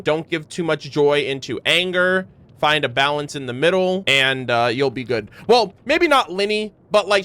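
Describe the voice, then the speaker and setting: A man's voice: on the high side, fairly bright tone, smooth. A man streamer giving casual, effusive reaction commentary in slangy language. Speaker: streamer reacting to game voice lines.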